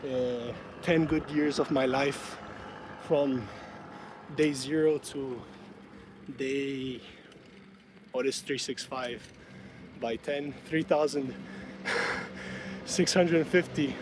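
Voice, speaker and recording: A man's voice: medium pitch, fairly even intonation, extremely slow, pauses often. Brazilian man vlogging on a noisy street. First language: Portuguese. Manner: musing, chatty, laughs often. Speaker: student vlogger. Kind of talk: casual reflective vlog. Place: city street outdoors. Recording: street noise behind the voice. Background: traffic and city street ambience.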